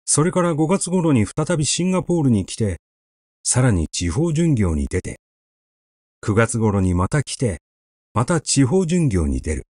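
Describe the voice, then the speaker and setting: A man reading a literary story aloud in a measured, expressive, conversational storytelling manner, close to the microphone. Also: mid-range voice, dry recording. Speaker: audiobook reader.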